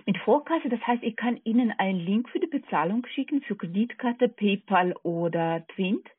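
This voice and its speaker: A woman's voice: medium pitch, expressive, normal speed, husky, no room sound. Tinny phone-line woman, foreign-accented German. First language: not German. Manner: hesitant, checking and repeating details. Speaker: call centre telephone sales agent. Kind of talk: phone sales conversation.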